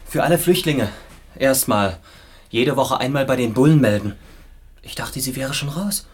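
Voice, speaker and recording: A husky-voiced ex-prisoner in a radio drama, with an ordinary middle pitch. He is agitated and sardonic, repeating himself restlessly. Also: expressive, moderate pace. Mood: agitated, haunted. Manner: restless, repetitive, erratic between outburst and mutter. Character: agitated, bitter, sardonic freed male political prisoner.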